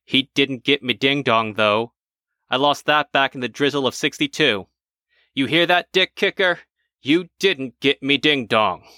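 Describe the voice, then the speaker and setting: A man's voice: mid-range voice, moderate pace, sharp tone, no echo, studio quality, natural voice. A man voicing a cantankerous, insult-hurling pensioner in his seventies, telling his story with indignant boasting. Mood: tearful defiant. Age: over 70.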